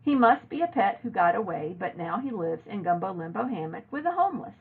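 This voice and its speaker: A woman's voice: medium pitch, very animated, a little fast, breathy edge. A woman voicing an earnest, emotional young girl who exclaims and pleads, in a storybook read-aloud. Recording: narrow, phone-like lo-fi audio.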